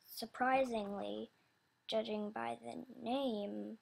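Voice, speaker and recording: A young woman with a high, youthful, slightly childish voice talks casually and off the cuff. She speaks slowly, rambling and hesitating as she searches for the right words. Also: steady tone, gravelly, darkish tone. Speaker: video creator announcing animation voice auditions. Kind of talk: casual unscripted chat.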